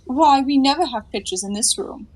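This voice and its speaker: A woman's voice: sits high, animated, moderate pace, rough recording. A woman acting a playful, unabashed young 1920s woman in a stage comedy, with a coy, theatrical delivery. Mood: coy, amused. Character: breezy, playful, unabashed flapper-era young woman in her bath.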